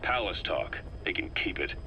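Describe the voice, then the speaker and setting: A shrewd, calculating male intelligence operative laying out a situation in a video game tape. He talks in a low, measured, earnest way with a sharp, forceful tone. A faint hiss runs underneath. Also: big pitch swings, full character voice.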